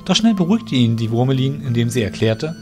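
A man narrates a scripted fantasy audio play. His voice sits at an average pitch for a man. Music is clearly heard beneath the storytelling. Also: big pitch swings, brisk.

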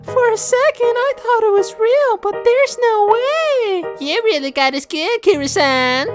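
A woman voicing an excitable, squeamish cartoon bystander in an exaggerated comic dub. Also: very high-pitched, measured pace. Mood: amused. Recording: music behind the voice.

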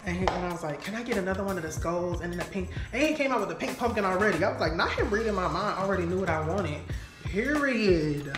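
A woman in her home kitchen shows off her purchases in an excited, playful vlog. She talks in a lively way, full of slang and catchwords she keeps repeating. The room echoes, music plays clearly behind her, and the camera sits a few steps away. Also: fairly low pitch, quick pace. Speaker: vlogger. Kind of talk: excited casual vlog, grocery haul. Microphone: camera on the counter, a few steps away.

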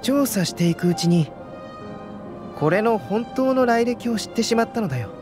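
Young alchemist knight in a game, calm n analytical, high light male voice, music under it. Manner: measured, explanatory, unflustered. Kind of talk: video game dialogue.

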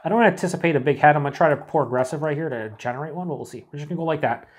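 A middle-aged man reviewing a beer alone to camera in a small room. He talks in a chatty, excited way, swears freely and sometimes drops to breathy whispers. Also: fairly high pitch, racing, slight background noise. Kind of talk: casual solo review to camera.

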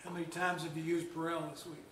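An older man, a pastor, gives a seated sermon in a measured, storytelling way with gentle humor. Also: fairly high pitch, level tone, slight background noise. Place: church lounge with fireplace, indoors. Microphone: far camera. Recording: echoey room, voice heard from a distance.

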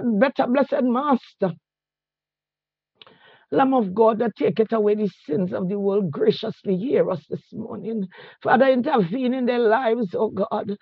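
A man leading a spontaneous spoken prayer, pleading fervently and closing each phrase with a softer "oh God" or "Lord". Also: very high-pitched, breathy edge, no room sound. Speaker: prayer leader. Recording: dull, dry radio recording.

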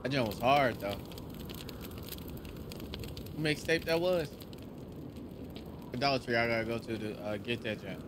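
A man's voice: mid-range voice, measured pace, pauses often, background noise. A man giving casual, slangy gameplay commentary in a playful, teasing manner on a headset. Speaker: let's play streamer. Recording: game soundtrack loud behind voice. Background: video game music.

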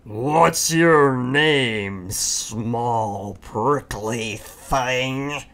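A woman voicing a big gruff rhinoceros in a children's storybook, with drawn-out speech. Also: a bass voice, a bit bright, exaggerated voice. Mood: gruff, menacing. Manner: slow, drawn-out, menacing or breathless with laughter. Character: big gruff bullying rhinoceros who giggles helplessly.

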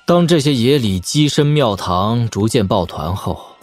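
An actor playing a shrewd, sardonic young lord in a martial-arts audio drama, expounding wryly at length. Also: male voice, mid-range voice, expressive, a little slow, no room sound, natural voice. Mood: scornful, wry. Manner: wry, expounding at length, with snorts and sighs. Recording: music behind. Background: background music.

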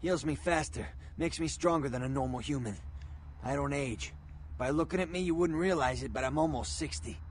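An actor playing a badly beaten, bitter gangster ghoul in a video game, groaning in pain. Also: male voice, mid-range voice, brisk, slight background noise, close to an everyday voice. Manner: groaning, pained, gritty streetwise talk. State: in pain, straining.

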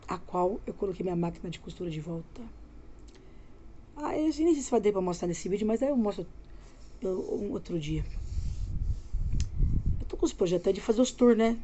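A woman's voice: mid-range voice, dark tone, lo-fi sound, a little hiss or hum. A woman in her forties from Minas Gerais, with a Minas accent, chatting loosely to her viewers in a casual home vlog, recorded on a phone held close while lying in bed. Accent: Brazilian Portuguese, Minas Gerais. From Minas Gerais, Brazil. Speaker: vlogger. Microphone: phone camera held close.

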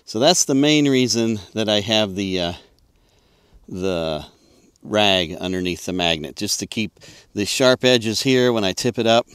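A man's voice: on the low side, lively intonation, measured pace, a bit bright, clean tone, no room sound, clean studio sound. An older man, talking in a folksy, easygoing way as he casually narrates a field demonstration. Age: 50s or 60s. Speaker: amateur radio vlogger. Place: outdoors in an open farm field and inside a parked car.

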